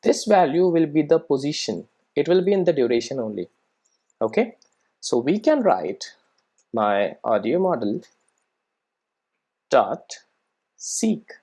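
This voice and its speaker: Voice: medium pitch, big pitch swings, a little slow, pauses often, warm tone. A person giving an informal live coding tutorial, thinking aloud and often checking in with "right" and "okay". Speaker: programming tutorial presenter.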